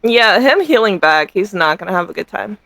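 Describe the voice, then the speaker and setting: A woman's voice: medium pitch, lively intonation, fairly quick, gravelly, fairly bright tone, slight background noise. A woman with a Southern American accent, running a tabletop game as game master and joking with her players. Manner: laughing, joking.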